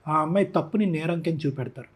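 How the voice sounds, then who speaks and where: A man with a Telugu accent and a husky voice, explaining his points emphatically as an expert guest in a conversational interview. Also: fairly high pitch, quick pace. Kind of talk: conversational interview commentary mixing Telugu and English.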